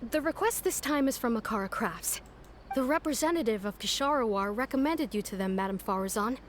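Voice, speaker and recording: A woman's voice: on the high side, animated, fairly quick, slight background noise, lightly acted. A woman voicing a polite, earnest academy go-between in a video game, explaining things courteously.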